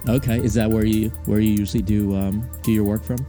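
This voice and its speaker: A man's voice: lowish voice, steady tone, fairly quick, dark tone, faint music behind. A man interviewing casually and reading an ad in a playful, curious way. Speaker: interviewer and ad reader.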